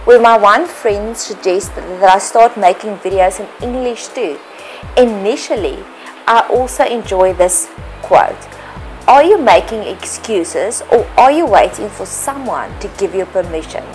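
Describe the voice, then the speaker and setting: A woman's voice: medium pitch, lively intonation, unhurried, a little mellow, soft background music, low-quality recording. Earnest Afrikaans-accented woman blessing listeners. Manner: earnest, blessing-giving, careful phrasing with small slips. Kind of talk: read devotional voice-over.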